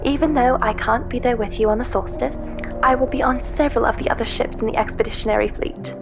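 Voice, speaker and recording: A woman's voice: fairly high pitch, vocal fry. An actress playing a caring female ship intelligence in a sci-fi audio drama, talking in an intimate, hesitant, conversational way as if leaving a personal message. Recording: background music under the voice. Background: music.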